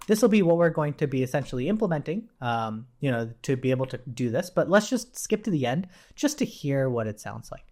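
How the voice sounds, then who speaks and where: A man's voice: on the high side, mellow. A man giving an informal technical tutorial in a conversational way, often tagging statements with a questioning check. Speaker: instructor.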